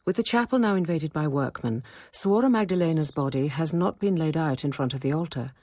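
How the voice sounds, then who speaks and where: An actress playing a wry, sceptical nun who narrates a radio drama in a measured, intimate first-person manner. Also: female voice, low-pitched, animated, no room sound. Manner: measured, intimate, quietly dry.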